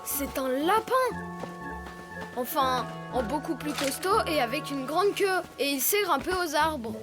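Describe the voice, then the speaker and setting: Casting: an excitable cartoon cub, very high childlike voice, naive and emphatic, music bed behind. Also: female voice, a little slow. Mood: puzzled, naive. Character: excitable naive young animal cub, cheerful. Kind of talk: dubbed children's cartoon acting. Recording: music under the voice.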